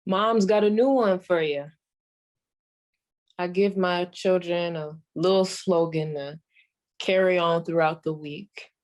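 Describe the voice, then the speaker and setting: A woman's voice: fairly low pitch, fairly even intonation, slow. A woman playing a sassy, folksy old female comedian doing a wry 1940s radio stand-up monologue.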